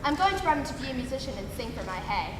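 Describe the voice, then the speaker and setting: An indignant old donkey acted by a woman on a children's stage, wry and full of big plans. The high voice swings widely with theatrical exclamations, in an echoing hall with music behind. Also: very animated, very fast, background noise. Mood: friendly, dry. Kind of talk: children's folktale stage play. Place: large hall, stage performance.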